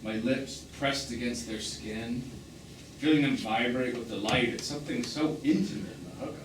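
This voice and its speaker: A man's voice: medium pitch, moderate pace, close to an everyday voice. A man acting a melancholy, tender, wounded romantic in stage drama dialogue, lyrical and pointed in delivery. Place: theatre stage, recorded from the audience distance. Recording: echoey, distant, noisy live theatre recording.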